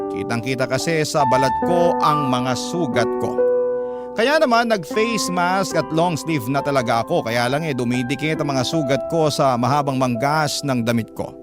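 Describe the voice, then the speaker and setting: Male Filipino storyteller over loud music. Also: on the high side, lightly acted. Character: storyteller reading a suffering letter writer's first-person account. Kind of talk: scripted dramatic narration. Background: loud background music.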